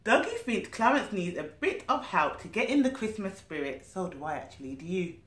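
Female storyteller, thirties, nanny style, very animated and expressive, recorded in a roomy living room. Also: mid-range voice, fairly quick. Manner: expressive, asks the listener questions. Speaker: nanny reading a storybook to children. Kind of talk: animated children's story reading with asides. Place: small living room, indoors.

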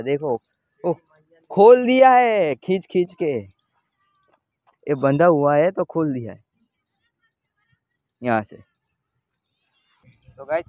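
A man's voice: a little high, darkish tone, no echo. A young man, chatting casually to viewers in a vlog. He records himself outdoors at a cricket ground, holding the camera at arm's length. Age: young adult. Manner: chatty, addresses viewers directly. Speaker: vlogger. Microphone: handheld camera at arm's length.

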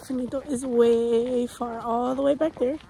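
High-voiced woman vlogging outdoors. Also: measured pace, gravelly. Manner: excitable, gushing, talks to animals. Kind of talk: casual vlog chat to camera. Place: outdoors at a farm petting zoo. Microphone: phone at arm's length. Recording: noisy outdoor phone recording.

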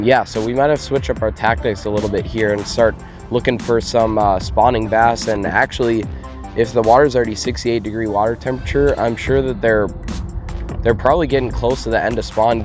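Young American male vlogger, lowish hoarse voice, casual outdoor delivery, music behind. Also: fairly even intonation, a little fast. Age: young adult. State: hoarse from a cold, losing his voice. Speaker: fishing vlogger. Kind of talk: casual vlog chat. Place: outdoors on a small boat on a lake.

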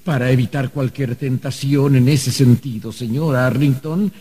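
An actor playing an exasperated, bureaucratic archangel in a radio drama, speaking formally and deliberately. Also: male voice, mid-range voice, steady tone, warm tone, slightly rough. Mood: stern, exasperated. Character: officious, exasperated male archangel keeping records of the dead. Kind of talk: old-fashioned radio drama acting.

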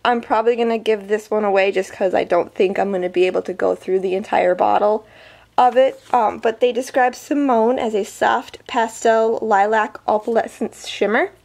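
A woman's voice: medium pitch, fairly even intonation, normal speed, vocal fry, a little mellow. A woman vlogger chatting casually through an unboxing review, her voice a little weak from a summer cold, full of ums and likes. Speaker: beauty vlogger.

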